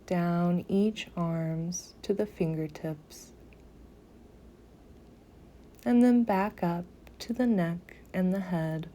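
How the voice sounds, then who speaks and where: A woman guiding a meditation in a soothing, gentle manner, softly breathy at phrase ends, with long pauses between short phrases. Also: lowish voice, a little hiss or hum. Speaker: yoga nidra meditation guide. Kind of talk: slow guided meditation.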